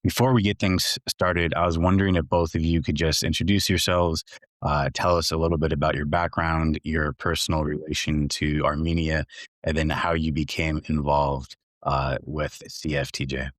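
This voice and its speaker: A man's voice: a bass voice, little pitch movement, normal speed, no echo, studio quality. A man co-hosting a podcast, talking emphatically and opening the interview. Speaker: podcast co-host. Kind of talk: conversational podcast talk.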